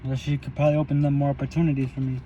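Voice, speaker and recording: A man's voice: medium pitch, flat delivery, very fast, mellow, muffled recording, a little hiss or hum. A young man talking while he eats in a parked car, in a loose, rambling and profane way for his vlog. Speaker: vlogger. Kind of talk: casual eating vlog monologue.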